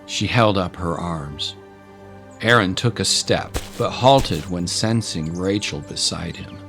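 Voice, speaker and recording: A male narrator delivers scripted dramatic storytelling. His voice sits low, and music plays clearly behind it. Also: animated, slow, a bit bright.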